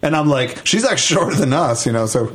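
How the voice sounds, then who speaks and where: A man's voice: a little high, expressive, fairly quick. A middle-aged man hosting a podcast, chatting casually with playful, campy turns of phrase. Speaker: podcast host. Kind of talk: casual conversational interview chat. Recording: clear background noise, room ambience.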